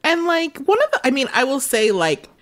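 A woman co-hosting a casual podcast, her pitch swinging high and low. She talks with animated indignation and laughs often. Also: moderate pace. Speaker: podcast co-host. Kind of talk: casual chat.

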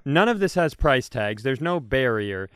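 American man, smooth clear voice, mid pitch, dry recording, casual podcast banter, rambling, playful. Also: sing-song, measured pace, a bit bright, dry recording. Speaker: comedy podcast co-host.

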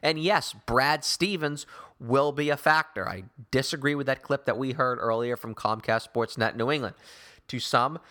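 A man with a mid-pitched voice hosts a basketball talk show. He argues his opinions emphatically, rambling from point to point and slipping in sarcastic asides. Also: normal speed, sharp tone. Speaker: sports talk show host.

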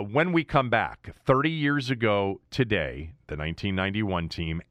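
A man with an American accent hosts a sports podcast in a low voice. The sound is dry and close, and his delivery is casual and conversational. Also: a bit bright, no echo.

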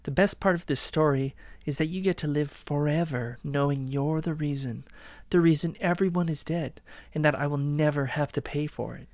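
A man's voice: fairly high pitch, moderate pace, darkish tone, no echo, natural voice. A man acting a cruel, gloating killer who coldly taunts his victim in a dramatic crime story reading. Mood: cold, menacing.

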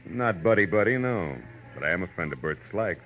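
Hard-boiled American radio gumshoe, low register, wry and terse, dull vintage broadcast sound. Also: male voice, animated, brisk, a little hiss or hum. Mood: cool, needling. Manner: terse, sardonic, clipped. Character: hard-boiled male private eye, wry and tough. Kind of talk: 1940s radio detective drama, narration and dialogue. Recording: dull old radio recording, music behind.

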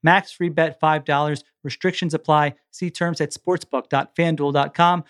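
A male podcast host with a somewhat high voice for a man. He speaks in a casual sports-talk style, also when reading from copy. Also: steady tone, normal speed, a bit bright, clear, resonant tone.

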